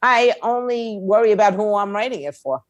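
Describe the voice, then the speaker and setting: A woman's voice: mid-range voice, animated, brisk, no room sound. A woman speaking as a guest expert in an interview, explaining things in a plain-spoken, emphatic, conversational way. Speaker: guest, music attorney and indie label owner.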